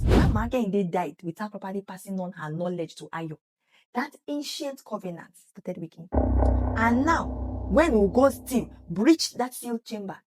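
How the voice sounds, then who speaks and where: A woman's voice: medium pitch, noisy background. A woman narrating a scripted story dramatically, emphatic and speaking straight to the listener.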